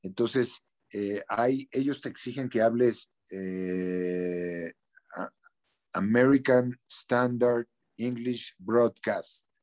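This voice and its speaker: A middle-aged man with a Mexican accent talks frankly in an interview. His voice sits at an ordinary middle pitch. He drops in slang and loops on repeated words while he hunts for the right phrase. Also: extremely slow, a little mellow. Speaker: actor, interview guest. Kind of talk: casual interview.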